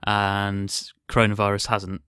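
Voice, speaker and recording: A man with a fairly low, smooth voice hosts a casual podcast discussion. His pitch stays rather even, and the sound is close and dry. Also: unhurried, no echo. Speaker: podcast host, theatre company member.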